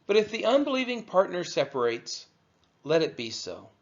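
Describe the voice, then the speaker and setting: A man reading scripture aloud from a text, with measured, emphatic phrasing. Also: high voice, big pitch swings, highs cut off. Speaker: scripture reader.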